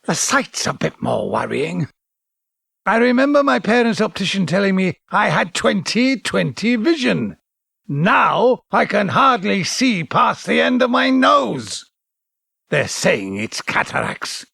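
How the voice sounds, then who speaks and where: Audio drama: grumbling, forgetful man of eighty, theatrical musing, very animated pitch, close dry sound. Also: a little slow, gravelly, slightly rough, no room sound, exaggerated voice. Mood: wry grumbling. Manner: theatrical, musing, self-mocking.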